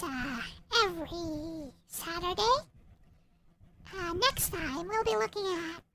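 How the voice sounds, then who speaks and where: A woman with a small, childlike voice chatting casually and haltingly on a livestream about her own writing. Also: very high voice, background noise. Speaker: streamer and visual novel writer. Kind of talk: casual livestream chat showing own work. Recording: loud music behind the voice. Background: loud music and game sound effects.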